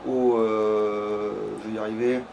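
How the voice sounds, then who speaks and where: A man's voice: fairly low pitch, little pitch movement, unhurried, a little mellow, muffled recording. A man giving casual, slangy, sweary let's play commentary while chatting with a partner. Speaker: let's player. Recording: clearly noisy home recording.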